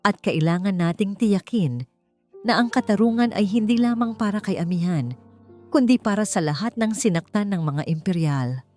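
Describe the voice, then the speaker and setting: Woman reading as a calm, calculating old male tycoon. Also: mid-range voice, moderate pace, soft background music, voice pushed up, natural voice. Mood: grave, calculating.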